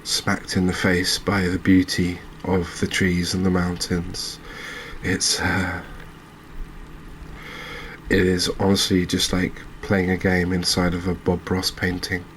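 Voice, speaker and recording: A man hosting a gaming channel with soft-spoken ASMR commentary, talking in a gentle, unhurried, halting way. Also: low-pitched, completely flat delivery, slight background noise, poor audio.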